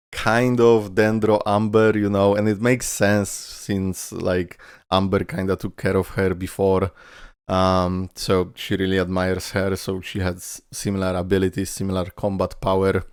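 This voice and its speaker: A man's voice: sits low, flat delivery, measured pace, smooth, no room sound, studio quality. A man who is a native Polish speaker, giving casual, rambling reaction commentary that often trails off and repeats words. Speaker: YouTube reaction host. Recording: music playing behind. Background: game video music.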